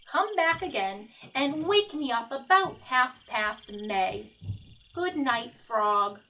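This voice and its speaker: A woman's voice: high-pitched, expressive, takes it slowly, sharp tone, far from the mic, full character voice, poor audio. A woman reading as a sleepy, grumpy storybook toad. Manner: grumbling, reluctant.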